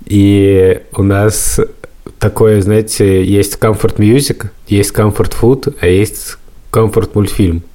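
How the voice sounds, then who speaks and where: A middle-aged man with a low voice and a soft, dark tone. He speaks as a podcast host in casual conversation, thinking aloud as he tells his stories. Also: steady tone, takes it slowly. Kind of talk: casual chat.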